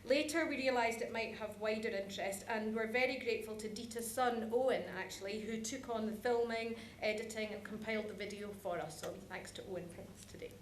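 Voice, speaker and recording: A woman's voice: fairly high pitch, fairly quick, few pauses. A woman giving an informal conference presentation in a chatty, self-deprecating way. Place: conference hall, live presentation. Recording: echoey room with background noise.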